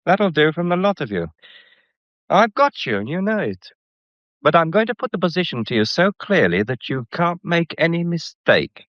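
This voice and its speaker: An actor playing an insolent, scheming English blackmailer in a mystery radio drama, talking with smug, deliberate menace. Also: male voice, fairly high pitch, sing-song, no room sound. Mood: sneering. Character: insolent, scheming male gallery employee turned blackmailer. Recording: dull, muffled radio drama recording.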